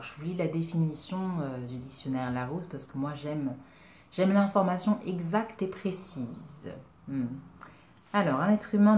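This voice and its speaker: Low-voiced young woman vlogging to camera. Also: mellow, echoey room. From Congo. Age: young adult. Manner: earnest, reflective, with many hesitations and rhetorical questions. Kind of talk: personal talk to camera. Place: indoors, small room, plain wall. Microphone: webcam at desk distance.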